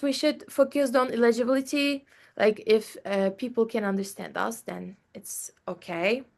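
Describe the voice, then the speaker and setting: A young woman with a Turkish accent presents for a class. Her voice sits high, and she talks hesitantly, filling gaps with "uh" and "like" and checking in with "okay?" as she goes. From Turkey. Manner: hesitant, many fillers and restarts, checks in with "okay?". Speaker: student presenter.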